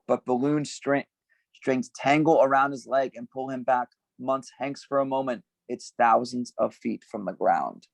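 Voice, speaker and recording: Man, mid-pitched voice, scripted narration, emphatic scene headings, dry recording. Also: gravelly, a little mellow, no room sound. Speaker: stage-direction reader. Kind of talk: scripted narration at a table read.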